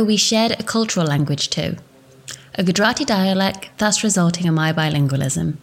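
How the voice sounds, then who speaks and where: A woman in her thirties from England, giving a scripted, formal narration for a teaching presentation in a measured way. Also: low-pitched. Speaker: education student presenting.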